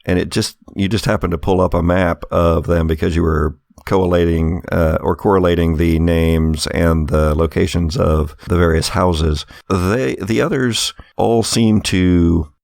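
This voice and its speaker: Slow, gravelly low-voiced man as game master. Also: fairly even intonation, darkish tone, no room sound, studio quality. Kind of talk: improvised tabletop game narration.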